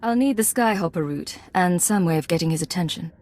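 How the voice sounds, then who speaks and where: A guarded secret agent played by a woman talks in a slightly low voice. She is cool, clipped and no-nonsense, acted as in a scripted video game. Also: brisk, darkish tone, lightly acted. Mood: cool, businesslike.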